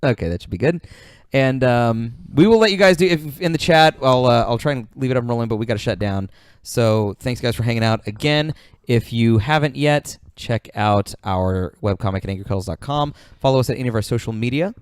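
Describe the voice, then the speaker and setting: A man hosts a casual podcast in an ordinary mid-pitched voice. His talk is informal and sprinkled with swearing. The sound is dry, without room echo. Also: expressive, brisk, clear, resonant tone. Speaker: podcast host.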